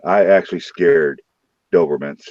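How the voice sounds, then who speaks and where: A man with a St. Louis accent hosting a late-night live stream from his room, joking loudly and swearing freely. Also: sits low, moderate pace, no echo, highs cut off. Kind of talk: casual live stream chat.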